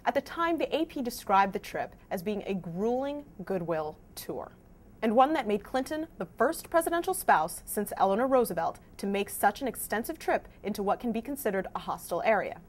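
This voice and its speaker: Woman host doing a news explainer vidcast, really animated up and down voice, kinda wry. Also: mid-range voice, brisk. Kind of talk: scripted news explainer narration to viewers.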